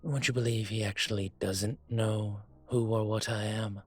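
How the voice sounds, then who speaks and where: A man acting a suave, older, gentlemanly dragon mafia boss in a romantic roleplay audio drama, courtly and teasing, with a husky voice. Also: on the low side, fairly even intonation, natural voice. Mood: relaxed, proud. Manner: unhurried, courtly, teasing, intimate. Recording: music behind the voice.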